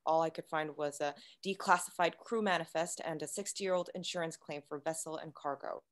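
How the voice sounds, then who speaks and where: A woman playing a composed, secretive android science officer, measured and matter-of-fact, in a sci-fi table read. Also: sits low, fairly even intonation, natural voice.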